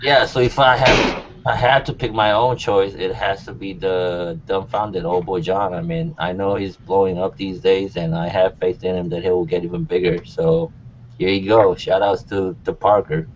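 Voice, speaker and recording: A man's voice: sits low, fairly even intonation, normal speed. A young man chatting casually as a panelist on a music talk show. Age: young adult. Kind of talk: casual chat. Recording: dull sound with background noise.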